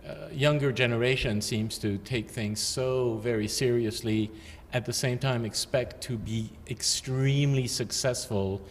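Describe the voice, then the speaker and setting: Older male guest, mid pitch, measured and hesitant, reverberant room with faint hiss. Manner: thoughtful, hesitant, with many fillers. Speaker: guest artist. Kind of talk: casual interview chat. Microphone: handheld mic.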